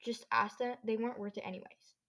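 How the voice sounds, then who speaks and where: A woman's voice: a little high, level tone, fast talker, a bit bright. A teenage girl hosting a casual solo podcast, chatting in a rambling, slangy way. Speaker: podcast host. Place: own bedroom.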